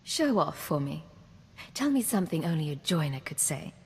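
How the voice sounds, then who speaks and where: A woman's voice: sits low, very animated, moderate pace, vocal fry, slightly rough, heavily acted. A woman voicing a self-possessed, wry imperial secret agent in scripted video game dialogue. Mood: affectionate. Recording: long reverb, noisy game ambience.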